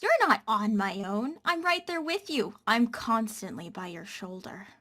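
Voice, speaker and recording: A woman's voice: on the high side, sing-song, measured pace, sharp tone, exaggerated voice. A woman voicing a devoted yet commanding guardian angel in a dramatic script reading. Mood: insistent.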